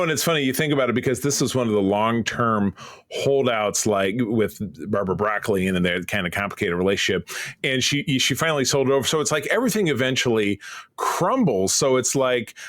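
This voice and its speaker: A man's voice: mid-range voice, a bit bright, no echo, clean studio sound. A man with a Detroit American accent co-hosting a podcast, chatting casually about pop culture. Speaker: podcast co-host.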